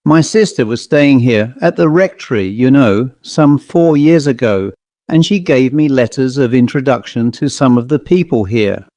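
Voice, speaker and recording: A man voicing a nervous, stiffly polite gentleman visitor in a storybook, speaking hesitantly. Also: mid-range voice, lively intonation, moderate pace, no room sound, natural voice.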